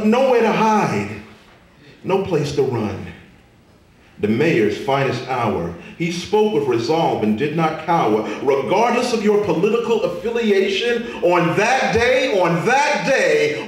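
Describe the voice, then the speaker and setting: Echoey, slow-paced man reciting poetry. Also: fairly high pitch. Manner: theatrical, emphatic delivery with big swells and hushed drops. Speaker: spoken word poet. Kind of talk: dramatic spoken word poetry recital. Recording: echoey room from far off, faint noise.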